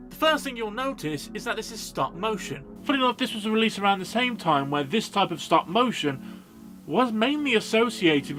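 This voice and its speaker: High-voiced sarcastic man reviewing films. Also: fairly bright tone. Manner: sarcastic, sweary. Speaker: film review video host. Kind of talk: scripted comedic film review narration.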